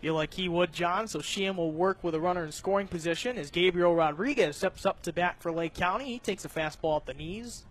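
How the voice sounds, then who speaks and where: A man giving live baseball radio play-by-play commentary, narrating each pitch in measured detail. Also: fairly bright tone. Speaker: play-by-play announcer.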